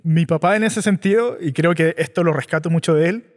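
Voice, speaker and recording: A man with a Chilean accent, a startup founder, telling his story in a relaxed, reflective interview. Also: a little high, a little fast, long reverb tail. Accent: Chilean Spanish. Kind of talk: conversational interview.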